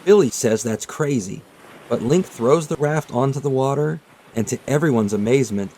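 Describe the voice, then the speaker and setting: A man reading scripted, storybook-style narration in a measured, dramatic storytelling manner. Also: mid-range voice, expressive, mellow, a little hiss or hum. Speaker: narrator of a manga retelling video. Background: background music.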